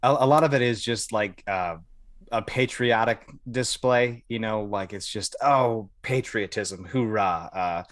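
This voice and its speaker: Low-voiced American man telling stories. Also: a little slow, fairly bright tone, no echo, clean studio sound. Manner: informal, swears casually, quotes dialogue. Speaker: podcast guest, Air Force veteran. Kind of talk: casual storytelling.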